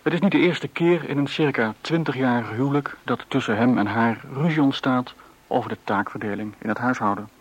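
A man announcing and introducing a radio play in formal, measured scripted narration. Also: medium pitch, slightly rough, rough recording.

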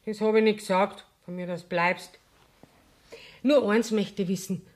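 Radio play: actress for sharp-tongued Bavarian working wife, middle-aged, blunt, mid-range voice. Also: female voice, medium pitch, steady tone, a little slow, natural voice. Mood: brusque. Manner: scolding, blunt. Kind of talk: 1970s German radio play acting in dialect.

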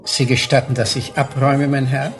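A man's voice: medium pitch, flat delivery, moderate pace, soft background music, lightly acted. An actor playing a prim male waiter in a radio drama, unctuous, very formal and deferential. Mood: obsequious. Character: obsequious, prim male waiter, politely indignant.

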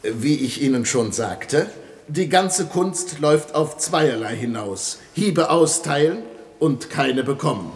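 A man's voice: a little high, expressive, slow pace. A man acting a boastful, hot-tempered fencing master in an old German radio comedy, with a pompous, commanding delivery. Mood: pompous, self-satisfied. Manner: barking clipped commands, pompous. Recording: roomy, noisy old radio recording.

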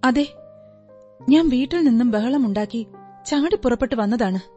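A woman acting an earnest, high-voiced, talkative client. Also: moderate pace, mellow, lo-fi sound, close to an everyday voice. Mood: indignant. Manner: polite, earnest, explaining in detail. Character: earnest, talkative unmarried woman client, polite but indignant. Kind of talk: radio drama acting. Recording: radio drama with music behind. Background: music.

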